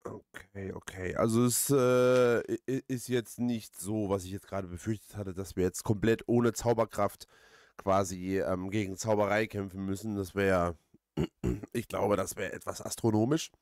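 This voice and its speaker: Low-pitched man, casual game commentary. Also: fairly even intonation, normal speed, darkish tone, no room sound, clean studio sound. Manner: hesitant, rambling, repeats phrases. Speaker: let's play host.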